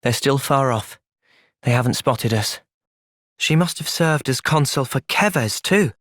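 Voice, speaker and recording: Earnest young soldier, very dry recording. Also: male voice, medium pitch, lively intonation, measured pace, a bit bright, no room sound. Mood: calm, thoughtful. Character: earnest, thoughtful young male soldier, gentle but resolute. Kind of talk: video game cutscene acting.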